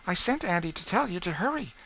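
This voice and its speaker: A man's voice: high-pitched, big pitch swings, quick pace, sharp tone, pitched above the performer's own voice, exaggerated voice. A man voicing a stern, proper lady of the house in an audiobook, firm and admonishing.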